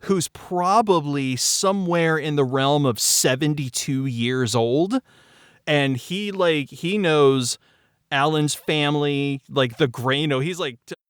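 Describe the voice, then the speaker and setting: A man's voice: a little high, slow pace, smooth, dry recording, clean studio sound. A man in his forties telling behind-the-scenes stories in a candid, emphatic way, repeating phrases for stress. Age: 40s. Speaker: audio drama creator discussing the show behind the scenes. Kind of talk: animated conversational storytelling.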